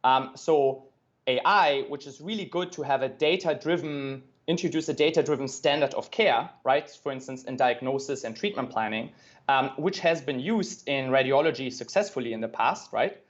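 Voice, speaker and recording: A man's voice: mid-range voice, moderate pace, highs cut off. German-accented man, earnest guest. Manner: earnest, frequent "right?" tags. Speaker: guest, tech company founder. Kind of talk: interview conversation.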